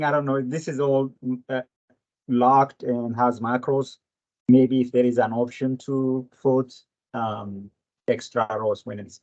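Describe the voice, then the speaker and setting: A man speaking casually in a work meeting, offering his points in a tentative, halting way. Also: mid-range voice. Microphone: online meeting call. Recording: dull, lo-fi online meeting audio.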